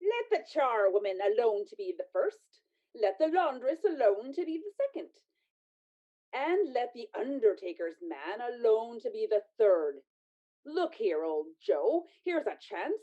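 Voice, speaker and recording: A woman's voice: on the high side, very animated, unhurried, mellow. An actress playing a brazen, scornful working-class charwoman in a radio play, with a defiant, theatrical delivery. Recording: muffled, dull audio.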